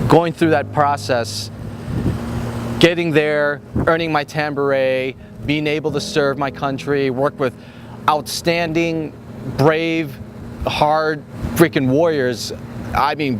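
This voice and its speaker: A man speaking earnestly and reflectively, giving a personal testimonial as a police officer and veteran. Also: mid-range voice. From born in Vietnam, grew up in Chicago, United States. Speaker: police SWAT officer and army veteran telling his story. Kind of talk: personal testimonial over a promotional film. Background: music.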